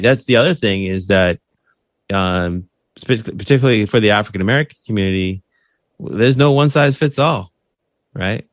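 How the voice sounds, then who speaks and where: A man's voice: fairly low pitch, no echo, clean studio sound. A man talking in a casual interview about running his podcast, earnest and conversational, often checking in with "right?" and restarting his phrases. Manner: frequent restarts and repeated words. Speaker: podcast host interviewed as guest.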